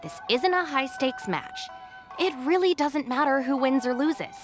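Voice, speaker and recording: A sensible young second-in-command from a video game, speaking in a somewhat high, lively-pitched voice. She is dry, practical and weary of nonsense, with music clearly playing behind her. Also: measured pace. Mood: patient, resigned. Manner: dry, matter-of-fact. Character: sensible, exasperated young female gang deputy. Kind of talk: English video game voice acting.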